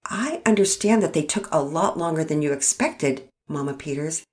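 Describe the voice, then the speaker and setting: A woman reading a storybook, voicing a calm father who asks gentle questions. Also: deep voice, moderate pace.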